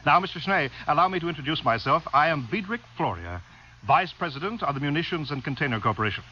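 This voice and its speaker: An actor in a 1950s radio drama playing an ingratiating, pompous munitions executive with a formal, wordy manner. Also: male voice, a little high, animated, fast talker, pushed and bright. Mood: salesmanlike. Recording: muffled, hissy vintage radio recording.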